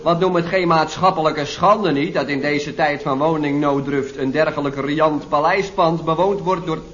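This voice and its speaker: A man's voice: a little high, steady tone, moderate pace, a bit bright, natural voice. An actor playing a cheeky, mocking man in a radio comedy, mixing casual tags with mock-formal lecturing. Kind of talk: 1970s radio comedy acting. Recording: dull vintage radio recording.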